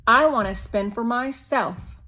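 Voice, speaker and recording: A woman's voice: fairly high pitch, unhurried, pushed and bright, voice pushed up. Woman voicing a restrained, put-upon young boy. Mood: annoyed, restrained. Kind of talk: children's storybook character voice.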